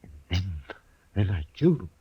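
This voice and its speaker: An actor playing a crazed, dying little killer in a 1950s radio western, confessing in halting anguish. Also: male voice, low-pitched, sing-song, slightly rough, dull audio, faint music behind, heavily acted. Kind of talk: 1950s radio drama acting. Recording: old 1950s radio recording.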